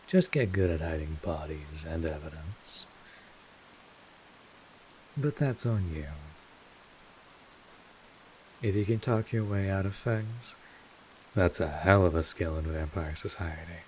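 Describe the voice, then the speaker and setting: A man playing a world-weary but kindly old vampire mentor in an audio roleplay, speaking informally and in measured phrases with wry asides. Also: sits low, a little mellow, a little hiss or hum, low-quality recording. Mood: frank, advising. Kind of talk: second-person audio roleplay monologue.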